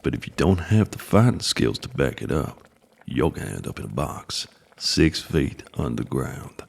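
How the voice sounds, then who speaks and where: An actor playing a gruff older mountain man in an audio drama, a blunt, folksy combat mentor who teases dryly. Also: very animated, moderate pace, full character voice. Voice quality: deep, gravelly.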